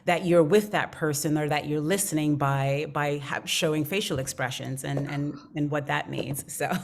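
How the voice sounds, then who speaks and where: A woman's voice: low voice, steady tone, normal speed, vocal fry, a little hiss or hum. A woman speaking as an actor on a panel, casual and reflective as she explains her thoughts.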